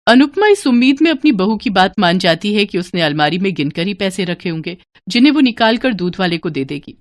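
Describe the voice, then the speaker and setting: A woman narrates a scripted moral story. Her voice sits at a middle pitch and the recording is dry, with no room sound. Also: very animated, brisk, no room sound.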